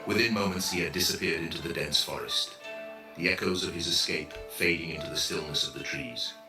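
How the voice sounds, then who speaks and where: Super deep guy narrating a dramatic audio story, bit echoey, music behind him. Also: a little fast. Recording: roomy echo with music underneath.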